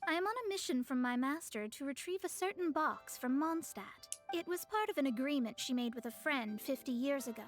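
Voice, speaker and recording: A proud young female astrologer from a game, lofty and formal. Her voice sits high and bright, every line poised and sure of itself. Faint game music plays underneath. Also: animated, a little fast, hardly any pauses. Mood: haughty. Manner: formal, self-assured, slightly lofty. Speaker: game character. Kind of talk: dubbed game dialogue.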